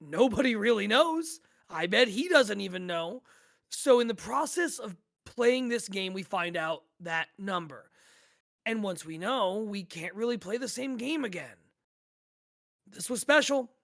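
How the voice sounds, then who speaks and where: A man playing a weary, gravelly, slow-talking guy. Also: high-pitched, lively intonation, a bit bright, exaggerated voice. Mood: wistful, earnest. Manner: hesitant, rambling, swearing. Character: weary dejected man, obsessive player of a very long game. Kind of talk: naturalistic audio drama table read.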